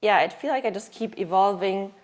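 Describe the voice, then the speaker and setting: A woman in her thirties from Germany, a yoga teacher speaking in a casual interview and pausing to search for words. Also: mid-range voice. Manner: thoughtful, searching for words with restarts.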